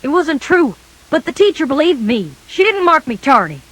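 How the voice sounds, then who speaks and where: A woman reading a scripted children's story in a folksy, animated storybook manner. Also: sits high, normal speed, poor audio.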